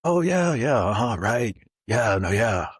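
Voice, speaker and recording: A man's voice: medium pitch, sing-song, unhurried, husky, no room sound, studio quality, pitched above the performer's own voice. A man voicing his younger self, an eager young man making chummy small talk to fit in.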